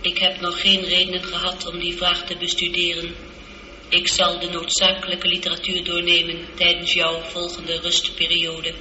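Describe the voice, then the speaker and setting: A woman playing a polite, logical ship's computer in a science fiction radio drama, speaking in a measured, formal, precise way through a reverberant effect. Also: lowish voice, completely flat delivery, sharp tone. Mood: calm, matter-of-fact. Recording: echoey, dull, lightly noisy radio recording.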